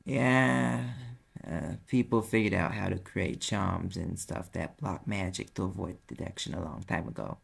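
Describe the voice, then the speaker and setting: A man voicing a sarcastic demon private eye in an audio roleplay, with a New York accent and a gravelly, husky voice. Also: low voice, measured pace, a little hiss or hum, full character voice. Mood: explaining, weary. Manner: sardonic, casual, swearing, defensive. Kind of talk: audio roleplay acting, conversational.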